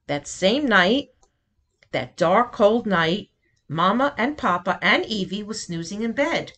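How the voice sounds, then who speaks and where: Woman reading a storybook, slow and animated. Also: medium pitch, a little hiss or hum. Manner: deliberate, dramatic pauses between phrases. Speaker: read-aloud host and storyteller. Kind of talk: expressive picture-book narration for children.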